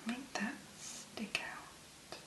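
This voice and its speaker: A woman speaking very softly and breathily, in a gentle, unhurried ASMR make-up tutorial style. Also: roomy sound. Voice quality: breathy, whispery. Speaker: ASMR video creator.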